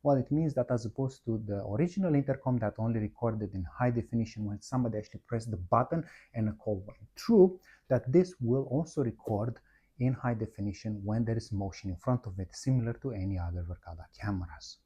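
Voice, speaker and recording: A man's voice: lowish voice, brisk, warm tone, slightly rough. A man narrating a product walkthrough, explaining methodically, one step at a time. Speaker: product presenter, installer.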